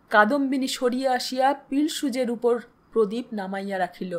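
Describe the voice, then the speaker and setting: High-voiced woman narrating a solemn story. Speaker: storyteller reading literary fiction. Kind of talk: dramatic audiobook narration.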